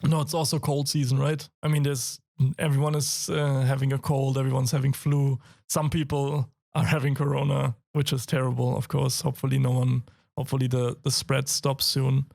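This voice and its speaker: A young German man with a raspy, hoarse voice, co-hosting a casual games podcast, laughing often and getting excited. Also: mid-range voice, moderate pace, hardly any pauses. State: hoarse from a cold. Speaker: podcast co-host. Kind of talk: casual chat.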